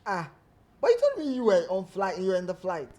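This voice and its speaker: A man's voice: sits high, wildly swooping pitch, brisk, a little mellow. A Ghanaian man hosting a podcast, interviewing a guest with playful, teasing banter. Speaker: podcast host. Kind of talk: casual interview chat.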